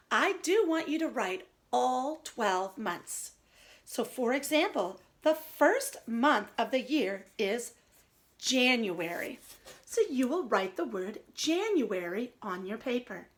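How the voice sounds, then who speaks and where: A woman teacher reading a story aloud and giving simple instructions to young children, expressive and explanatory. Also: on the high side.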